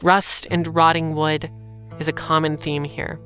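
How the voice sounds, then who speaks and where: Young noir drifter, low-pitched for a woman, dry, weary, guarded, audio drama, music underneath. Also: level tone, unhurried, a bit bright. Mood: weary, bleak. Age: young adult. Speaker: lead character and narrator in an audio drama.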